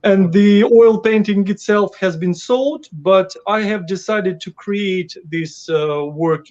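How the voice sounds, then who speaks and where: A man's voice: sits high, slow pace, darkish tone. A man from Ukraine, a guest artist talking about his work on a casual call, with many fillers and restarts. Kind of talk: casual interview over a call. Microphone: video call. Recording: dull lo-fi call audio.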